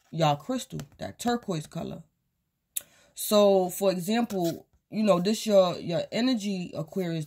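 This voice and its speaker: A woman's voice: on the low side, a little mellow. A tired woman who is losing her voice, giving a rambling, casual tarot reading at home with lots of fillers. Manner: rambling, loose, with many fillers and repeats. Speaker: tarot reader. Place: small indoor room at home, table of cards and crystals.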